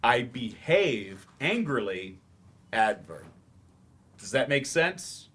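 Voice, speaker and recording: A man acting in a staged play reading as a patient, slightly awkward English teacher who explains in measured steps with hesitant asides. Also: medium pitch, big pitch swings, a bit bright, husky, heavily acted. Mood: instructive.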